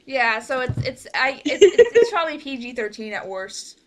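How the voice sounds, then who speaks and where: A woman's voice: high-pitched, big pitch swings, normal speed, a crowd in the background. A young woman chatting casually on a group call as host, rambling, giggly and often interrupting herself. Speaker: host of an online fan project meeting.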